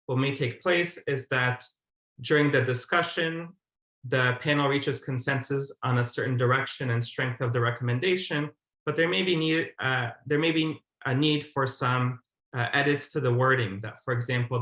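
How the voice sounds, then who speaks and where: A man's voice: medium pitch, bright, forceful tone. A man giving a formal, methodical explanatory presentation as a webinar presenter over a video call. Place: indoor room, on a video call. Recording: roomy, echoey webinar audio.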